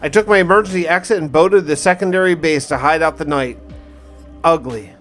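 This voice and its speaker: Theatrical man with a higher-pitched voice. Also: fairly bright tone, faint music behind. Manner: theatrical, emphatic, with drawn-out words. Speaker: gaming video host. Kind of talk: dramatic first-person survival diary narration of gameplay.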